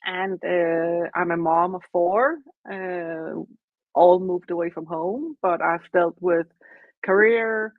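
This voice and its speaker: A middle-aged woman from Denmark, a coach speaking haltingly with many fillers. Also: lowish voice, fairly even intonation, no echo, narrow, tinny audio. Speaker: coach, business owner.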